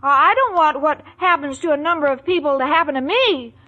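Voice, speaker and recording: Very high-pitched, sweet scatterbrained girl. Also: animated, fairly quick, bright, forceful tone. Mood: flustered, earnest. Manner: naive, earnest, muddles her words. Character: sweet, scatterbrained, lovestruck young woman. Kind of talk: 1940s radio sitcom acting. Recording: dull old radio recording, studio audience.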